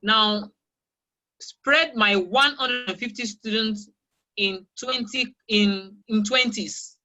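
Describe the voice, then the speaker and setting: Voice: medium pitch, takes it slowly, sharp tone, dull audio. A teacher from Nigeria with a Nigerian accent, a Yoruba speaker, answering interview questions emphatically and repeating key phrases over a video call. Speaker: guest teacher of English.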